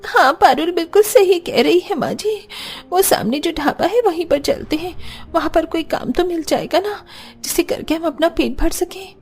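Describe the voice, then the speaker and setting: A woman's voice: extremely high pitch, moderate pace, pitched above the performer's own voice. A woman voicing a meek, suffering young pregnant daughter-in-law in a cartoon, pleading and plaintive. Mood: worried, plaintive.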